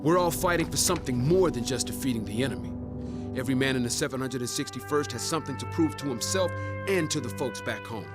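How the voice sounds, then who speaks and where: Man as proud American soldier, loud music behind. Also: mid-range voice, lively intonation, quick pace, close to an everyday voice. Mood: proud resolve. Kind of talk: first-person scripted war game narration. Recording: loud music under narration.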